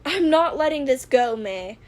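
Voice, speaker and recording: A woman voicing an eager, excitable teenage boy inventor in a fan audio drama, speaking in an earnest, emphatic way. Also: sits high, unhurried. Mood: agitated. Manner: emphatic, earnest, easily worked up.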